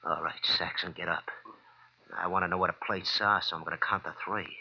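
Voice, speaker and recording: A man's voice: low-pitched, steady tone, fairly quick, sharp tone, exaggerated voice. An actor playing a ruthless, bullying gunman in an old radio drama, blunt, sneering and commanding. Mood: cold, threatening. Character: ruthless, bullying male gunman after loot. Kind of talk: 1940s radio drama acting. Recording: dull, muffled vintage radio recording.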